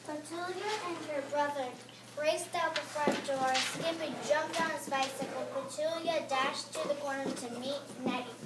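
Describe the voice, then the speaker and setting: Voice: high-pitched, fairly even intonation, normal speed. A young-sounding reader performing scripted lines from a children's play in an amateur reader's theatre. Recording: roomy, distant mic, loud background noise.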